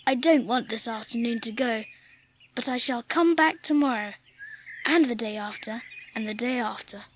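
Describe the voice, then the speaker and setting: High-pitched, well-bred little English boy played by a woman. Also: moderate pace, a little hiss or hum, lightly acted. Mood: curious, wistful. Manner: earnest, well-spoken, commanding. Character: frail, imperious, eager upper-class English boy. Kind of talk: dramatised audiobook acting.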